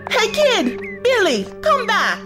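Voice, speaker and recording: A woman plays a bold, impulsive young heroine in an audio drama, brisk and take-charge. Her voice is high and swoops widely in pitch, over loud music. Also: wildly swooping pitch, full character voice. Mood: urgent. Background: loud background music.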